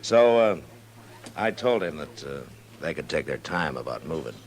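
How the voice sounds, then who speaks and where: An American man playing a weary, fair-minded Old West marshal in a 1950s radio western, speaking in an unhurried, laconic way. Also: extremely low pitch, sing-song. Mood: calm, reasoning.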